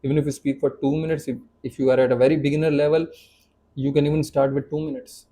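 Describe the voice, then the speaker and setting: Earnest Indian-accented man with mellow tone. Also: on the high side, flat delivery, quick pace, slight background noise. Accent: Indian English. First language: Hindi. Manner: earnest, many repeats and fillers. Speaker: English teacher. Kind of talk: casual to-camera talk.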